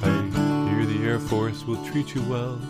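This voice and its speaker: A man playing a wistful, lovelorn partner in an improvised monologue, earnest and deadpan, over guitar. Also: lowish voice, unhurried, close to an everyday voice. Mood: wistful, tender. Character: wistful, lovelorn partner bidding an alien sweetheart farewell. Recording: loud guitar music under the voice.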